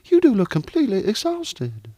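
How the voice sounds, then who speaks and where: A man's voice: high voice, big pitch swings, moderate pace, a little mellow, no echo, voice pushed up. A man voicing a genteel, anxious and proud middle-aged mother in an audiobook novel. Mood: anxious, curious.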